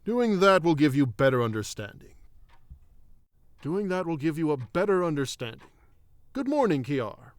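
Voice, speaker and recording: A man playing a thoughtful druid royal and father in a fantasy audio drama, speaking formally and in measured phrases. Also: a little high, sing-song, no echo. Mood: friendly, brisk.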